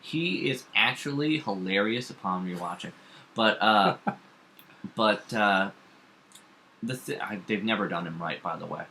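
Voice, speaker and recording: A man co-hosting a casual talk show, giving opinions in an animated, sarcastic manner. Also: fairly low pitch, unhurried, pauses often. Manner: animated, sarcastic, acts out mock dialogue. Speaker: co-host of a comics and TV talk show.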